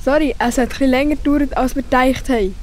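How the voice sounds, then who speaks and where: A woman's voice: high voice, steady tone, quick pace, a little mellow, natural voice. A woman with a Swiss accent voicing a playful, eager young male hare in a children's audio play. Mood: apologetic.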